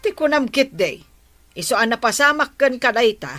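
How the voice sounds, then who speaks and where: A woman's voice: on the high side, expressive, moderate pace, fairly bright tone, soft background music. An actress playing a sensible village woman who gives firm, reproving advice in a radio drama. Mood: reproving, reasoning. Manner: firm, admonishing.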